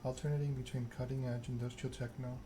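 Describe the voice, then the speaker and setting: Male ASMR narrator reading second-person fiction. Measured, unhurried, narrow pitch range, with clear background noise. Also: level tone. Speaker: video game streamer reading game text aloud.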